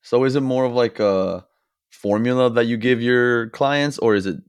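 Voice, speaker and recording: A woman hosting a podcast, asking relaxed, friendly questions and laughing easily. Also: very deep voice, normal speed, clean tone, no echo, clean studio sound. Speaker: podcast host. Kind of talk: casual interview questions.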